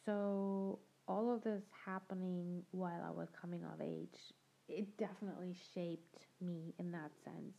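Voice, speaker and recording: A woman's voice: fairly low pitch, steady tone, unhurried, gravelly, mellow. A young adult woman hosting a podcast, telling her own story in a reflective, conversational way and laughing now and then. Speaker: podcast host. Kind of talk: personal storytelling monologue. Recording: dull lo-fi sound.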